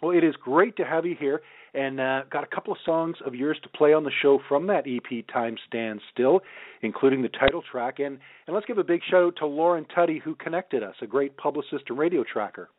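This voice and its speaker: A man's voice: on the high side, fairly quick. A man hosting a radio show and interviewing a guest. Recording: thin phone-like band, roomy echo.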